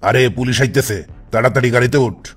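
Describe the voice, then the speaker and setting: A man's voice: medium pitch, fairly quick, slightly rough, close to an everyday voice. Guy doing a grumpy cartoon gang boss, bangladeshi accent, scolds and orders everyone, music behind. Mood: irritated scolding. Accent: Bangladeshi colloquial Bengali. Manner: scolding, commanding. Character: bossy, irritable male kidnapper gang boss. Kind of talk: comic cartoon voice acting.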